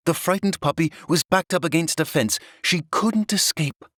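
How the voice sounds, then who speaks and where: A man narrating a scripted children's story in a dramatic, expressive storytelling manner. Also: a little high, normal speed, dry recording, clean studio sound.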